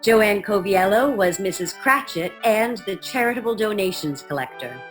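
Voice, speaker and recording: A woman narrating a scripted radio drama with measured storytelling emphasis. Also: medium pitch, animated, soft background music.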